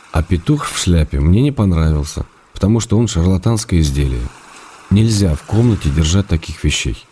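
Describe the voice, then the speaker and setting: Very deep, bright-edged wry letter writer. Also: male voice, slight background noise. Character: ailing, affectionate, wry male writer reading letters to his wife. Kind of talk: dramatic reading of letters for radio.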